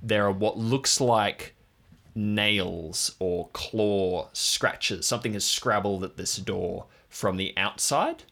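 A man's voice: on the low side, slow pace, fairly bright tone. A man acting as game master, narrating an improvised tabletop game and describing scenes to players.

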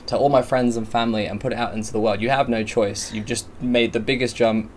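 A man from England with an English accent carrying an Australian twang, chatting casually as a podcast guest, upbeat and quick to laugh. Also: fairly even intonation, slight background noise. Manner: upbeat, laughs often, breaks into high laughs. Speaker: podcast guest, content creator. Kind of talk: casual chat.

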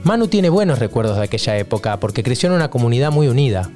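A man giving scripted podcast narration in an even, informative delivery. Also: mid-range voice, fast talker. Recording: faint guitar music behind.

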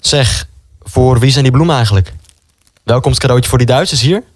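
An actor playing an excitable, defiant young brother in a wartime radio drama, pushy and quick to complain. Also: male voice, mid-range voice, no room sound, lightly acted. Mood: flippant. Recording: music under the voice.

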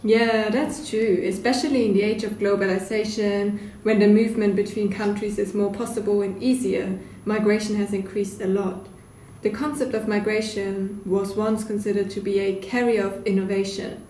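A young woman speaks as a student panelist in a mellow, mid-pitched voice. Her delivery sounds prepared. She sits away from the mic in an echoey room. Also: fairly even intonation, moderate pace. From Germany. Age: young adult. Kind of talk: prepared podcast discussion. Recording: echoey room, distant mic, faint noise.

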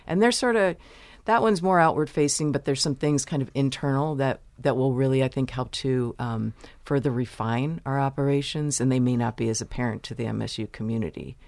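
Measured woman explaining policy, dry sound. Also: a bass voice, a little mellow, dry recording. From United States. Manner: measured, explanatory, pauses to choose words. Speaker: university vice president, interview guest. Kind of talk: interview answers.

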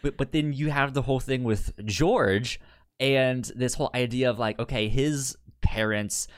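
A man with a stutter chatting casually as a podcast co-host, explaining things haltingly with repeated sounds and restarts. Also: medium pitch, smooth, no room sound, clean studio sound. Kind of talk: casual chat recapping sitcom episodes.

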